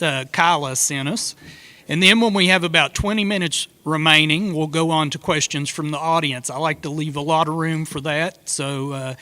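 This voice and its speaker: A man moderating a conference panel, relaxed and joking, with frequent fillers. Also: fairly high pitch. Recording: echoey hall with long reverb.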